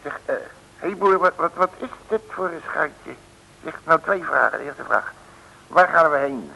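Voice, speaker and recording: Blustering, stammering radio detective. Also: male voice, a little high, lively intonation, a little slow, vocal fry, sharp tone, breathy edge, full character voice. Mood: confused. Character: bumbling blustering male detective, slangy and excitable. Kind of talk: 1960s Dutch comic radio serial acting. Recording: old radio recording, long reverb.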